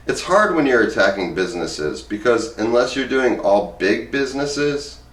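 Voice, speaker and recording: A man reading aloud and commenting casually, with an informal way of talking. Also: lowish voice. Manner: informal, rambling, halting when speaking off the cuff. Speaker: host reading book excerpts aloud and commenting. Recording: roomy echo, distant from the mic.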